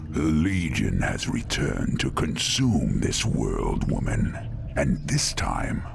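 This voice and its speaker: An actor playing a towering ancient demon lord in a video game, pompous, contemptuous and threatening. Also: male voice, rumbling low, creaky, noisy background, heavily acted.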